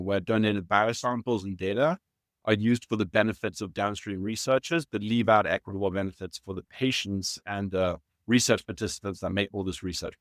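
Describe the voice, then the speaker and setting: A man in his thirties from South Africa with a South African accent, explaining his work at a measured pace as an interview guest, with some fillers and restarts. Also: lowish voice, no room sound, studio quality. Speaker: guest, startup founder. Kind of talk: conversational interview answers.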